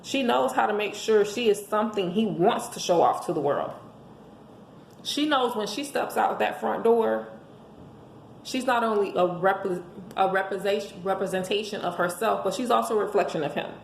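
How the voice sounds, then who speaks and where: Hoarse lady preaching relationship advice, croaky fry in her voice, echoey room at home. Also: mid-range voice, normal speed. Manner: emphatic, preachy, rhetorical questions. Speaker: host giving relationship advice. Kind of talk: motivational monologue to listeners. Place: indoors at home. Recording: echoey room with faint background noise.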